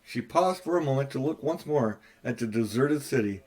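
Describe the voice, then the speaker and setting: An older man narrates a children's novel at an unhurried pace. His reading is steady and plain, the voice of a grandfatherly storyteller. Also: medium pitch. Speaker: audiobook narrator.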